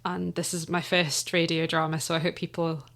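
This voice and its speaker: A woman's voice: low voice, flat delivery, normal speed, vocal fry, a bit bright. A woman with a Manx accent, chatting in a casual radio interview about her audio drama, laughing often and trailing off to avoid spoilers. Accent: Manx English. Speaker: audio drama writer and guest.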